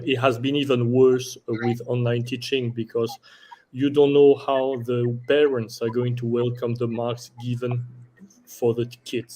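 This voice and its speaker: A man's voice: medium pitch, warm tone, slight background noise, rough recording. A man who works as an educator, giving conversational answers as a panelist.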